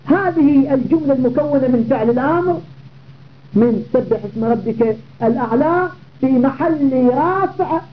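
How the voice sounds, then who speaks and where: A man's voice: extremely high pitch, lively intonation, moderate pace. Methodical male grammar teacher, tinny audio. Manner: methodical, repeats phrases and checks understanding. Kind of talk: classical grammar lesson. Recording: tinny phone-like audio with faint noise.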